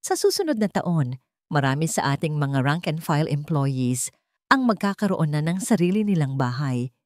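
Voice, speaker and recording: A woman voicing a young female company chairperson who speaks softly and politely. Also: sits low, big pitch swings, moderate pace, no echo, studio quality. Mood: tender.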